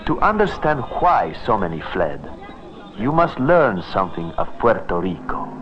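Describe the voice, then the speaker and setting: Slow deep archival narrator man. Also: big pitch swings, a little hiss or hum. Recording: dull old archive sound.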